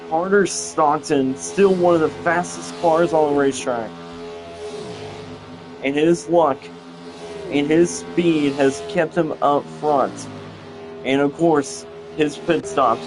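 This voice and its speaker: A young male commentator calling a simulated stock car race play-by-play, halting and often repeating himself, with engine noise and music behind him. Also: a little high, poor audio. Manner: halting, repeats phrases, restarts.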